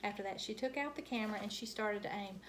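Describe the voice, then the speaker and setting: A woman reading a children's book aloud in an expressive, animated storytelling style with dramatic emphasis. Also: mid-range voice, fast, low-quality recording.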